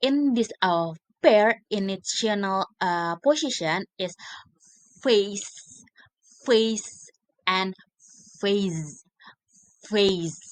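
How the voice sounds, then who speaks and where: A young woman with an Indonesian accent, a native Indonesian speaker, giving a scripted student presentation in careful, halting, hesitant English. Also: medium pitch, no echo, poor audio. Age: young adult. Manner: halting, careful word-by-word delivery with frequent hesitations.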